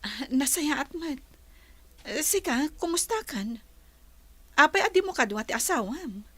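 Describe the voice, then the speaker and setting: A gentle Ilocano married woman in a village radio drama. Her voice is high and she speaks slowly, in a dry, close recording. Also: lively intonation, no echo, full character voice. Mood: hesitant, reserved.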